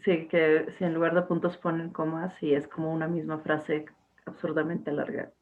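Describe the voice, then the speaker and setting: A woman's voice: low-pitched, little pitch movement, moderate pace. A young woman teacher, talking to her class casually, with fillers and restarts over a video call. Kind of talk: casual online class talk. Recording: tinny phone-like line.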